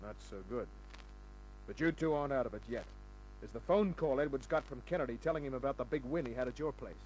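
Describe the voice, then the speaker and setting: A man's voice: mid-range voice, fast talker, a little mellow, a little hiss or hum, lightly acted, low-quality recording. An actor playing a tough private detective who wryly narrates his cases in a 1950s radio drama. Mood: tense. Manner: wry, hard-boiled.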